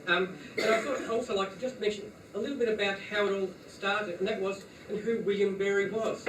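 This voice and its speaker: A man, chairman of the house board, giving a thank-you speech at an evening function in a measured, informative way. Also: high voice, steady tone, fairly bright tone. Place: function room at an evening party. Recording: echoey room, far-off mic, background noise.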